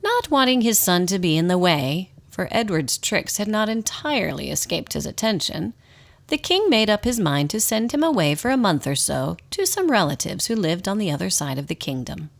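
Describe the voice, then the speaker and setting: A woman's voice: on the low side, sing-song, moderate pace, vocal fry. A woman reading scripted storybook narration as the narrator of a radio drama.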